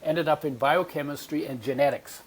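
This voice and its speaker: A man's voice: a little high, moderate pace, slightly rough, poor audio. A man in his eighties, born in Poland, telling his life story as a podcast guest and acting out voices from his memories. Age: 80s. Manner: animated storyteller, acts out remembered voices.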